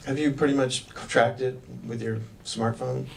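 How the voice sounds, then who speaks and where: Gravelly man interviewing from a distance. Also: mid-range voice, steady tone. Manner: halting, restarts his questions often. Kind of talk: mock job interview questions. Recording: distant mic with faint background noise.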